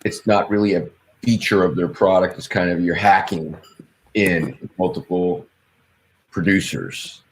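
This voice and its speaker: A man's voice: low-pitched, unhurried, slight background noise, rough recording. A man talking casually as a colleague in a business meeting, explaining how a tool works.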